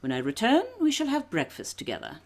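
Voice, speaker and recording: A woman's voice: medium pitch, wildly swooping pitch, a little fast. A woman playing a commanding, shrewd aristocratic lady detective in a period radio mystery, with a breezy, imperious, theatrical manner. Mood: brisk, self-assured.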